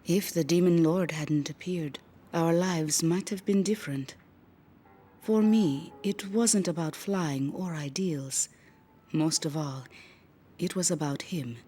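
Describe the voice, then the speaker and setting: An actress playing a bereaved former mercenary ace pilot, reflecting wistfully in a game's documentary-style interview. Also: female voice, sits low, noisy background. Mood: reflective. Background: faint music.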